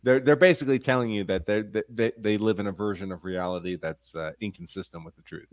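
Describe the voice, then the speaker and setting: A man's voice: on the low side, fast talker, no echo, studio quality. A man giving casual panel commentary in a sardonic way.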